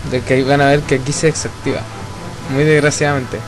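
A man's voice: medium pitch, little pitch movement, quick pace, over music, low-quality recording. A man with a Chilean accent chatting casually with friends while gaming, slangy and sweary. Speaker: player recording a gameplay video. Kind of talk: casual game chat with friends. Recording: loud game sound behind voice.

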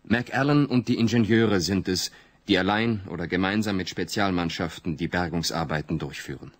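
An actor narrating a radio play as a matter-of-fact chronicler, in a factual report style. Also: male voice, low-pitched, moderate pace. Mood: sober.

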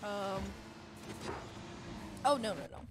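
A woman in her twenties chatting casually on a live stream, reading and answering chat with excitable bursts. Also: medium pitch, slow pace, background noise. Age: 20s. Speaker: esports co-streamer. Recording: game music under the voice. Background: game music and car sounds.

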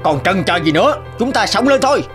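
Man as hot-headed young crow, high voice, animated, indignant, cartoon, faint music. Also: fairly quick. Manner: emphatic, agitated. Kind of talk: cartoon fairy-tale voice acting.

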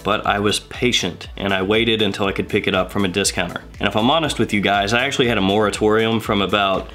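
A man in his thirties with a head cold, chatting through a casual video review and rattling off quick lists. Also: on the low side, steady tone, fairly bright tone, faint music behind. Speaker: fragrance reviewer. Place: indoor room with shelves of bottles.

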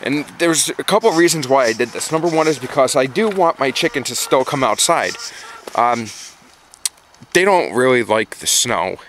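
A man's voice: medium pitch, animated, moderate pace, a bit bright. A man giving casual, informal how-to vlog talk, stressing points by repeating them, recorded on a handheld camera. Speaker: backyard chicken keeper and vlogger. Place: outdoors in a yard and inside a small wooden coop. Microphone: handheld camera, operator behind it. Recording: noisy handheld camera audio.

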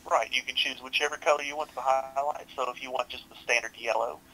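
Young male tech support rep, brisk delivery, mid-pitched, polite and helpful on a headset call. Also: fairly even intonation, bright, forceful tone, low-quality recording. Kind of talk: customer service walkthrough. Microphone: headset on a video support call.

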